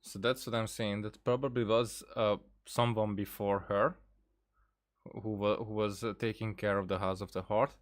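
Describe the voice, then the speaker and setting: Low-voiced man giving hesitant game commentary. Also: level tone, normal speed. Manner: hesitant, repeats words, thinks aloud. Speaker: gaming video creator. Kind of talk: casual, rambling game commentary.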